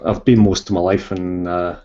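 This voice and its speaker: A man's voice: deep voice, flat delivery, normal speed, warm tone, lo-fi sound. A Scottish man in his fifties, an author chatting as a guest in a casual interview, rambling with many ums and restarts. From Scotland.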